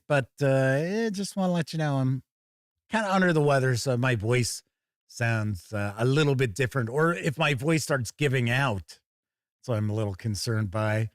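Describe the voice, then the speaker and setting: Hoarse, upbeat male stream host. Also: on the high side, dry recording, clean studio sound. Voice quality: slightly raspy. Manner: upbeat, folksy greetings, chatty. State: hoarse, under the weather. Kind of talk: casual live-stream chat. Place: home studio.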